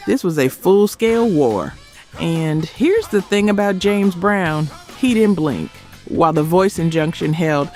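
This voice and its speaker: A man giving scripted documentary narration in short, emphatic, dramatic phrases. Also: a little high. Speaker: narrator of a music history video.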